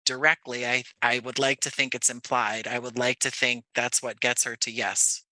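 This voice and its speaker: A man with a strikingly bright, sharp voice, recorded close and dry. He talks as a guest in a conversational interview, thinking aloud and rephrasing as he goes. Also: medium pitch, little pitch movement, normal speed, dry recording. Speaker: interview guest, actor and audio drama creator.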